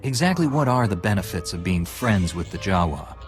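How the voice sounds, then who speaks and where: A calm serious game hero, lowish voice, measured and direct, music under. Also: male voice. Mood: calm, resolute. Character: calm, resolute young male warrior-monk hero. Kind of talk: video game voice acting. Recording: clear background music.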